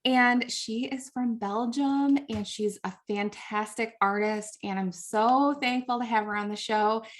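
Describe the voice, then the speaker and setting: A woman's voice: on the high side, fairly even intonation, measured pace, hardly any pauses. A woman hosting a podcast interview, speaking in an enthusiastic, upbeat way as she introduces her guest. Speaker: podcast host.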